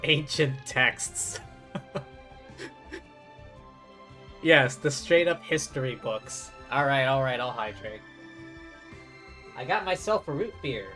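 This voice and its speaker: Woman, casual livestream commentary, playful, notably low pitch, slow pace, game music faintly behind. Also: animated, fairly bright tone. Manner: playful, echoes game lines. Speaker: game streamer.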